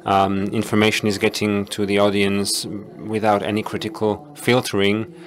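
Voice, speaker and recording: A man moderating a panel discussion, speaking in a measured, halting way. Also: fairly low pitch, completely flat delivery.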